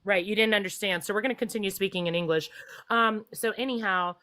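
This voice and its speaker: A woman's voice: medium pitch, fast, pushed and bright. A woman caller in a heated call-in debate, cutting and sarcastic. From Texas.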